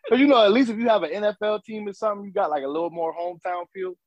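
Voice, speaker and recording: A man's voice: high-pitched, very fast, lo-fi sound. A man with a mixed New York and Southern accent, co-hosting a podcast in loose, profane, joking casual chat. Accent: New York and Southern US mix. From South Carolina. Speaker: podcast co-host.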